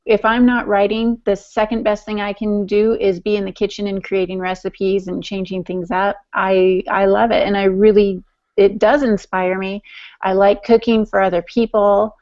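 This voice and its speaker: A woman's voice: medium pitch, flat delivery, normal speed, darkish tone, dull audio. A woman podcast co-host chatting casually in a talkative, teasing manner. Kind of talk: casual chat.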